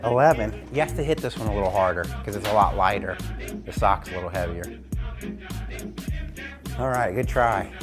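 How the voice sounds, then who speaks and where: Encouraging male gym teacher, casual coaching. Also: medium pitch. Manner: encouraging, instructive. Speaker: gym teacher hosting an exercise show. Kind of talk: casual game demonstration with coaching tips. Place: indoors at home.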